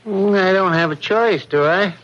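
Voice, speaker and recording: An actor playing a concerned, cautious husband in an old-time radio mystery, deliberate and insistent. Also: male voice, sits high, fairly bright tone. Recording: dull, band-limited radio drama recording.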